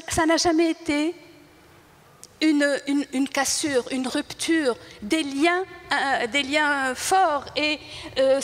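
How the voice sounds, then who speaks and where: An older woman born in Tunisia whose first language is Arabic, speaking at a panel talk and reflecting in a halting way, often searching for words and restarting. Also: sits high, a bit bright. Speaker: writer on a panel. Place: large hall. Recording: reverberant hall.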